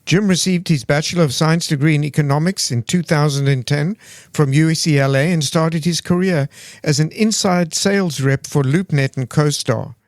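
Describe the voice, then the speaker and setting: A man's voice: on the high side, normal speed, dry recording, clean studio sound. A man co-hosting a podcast interview, upbeat, reading a polished guest introduction. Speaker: podcast co-host.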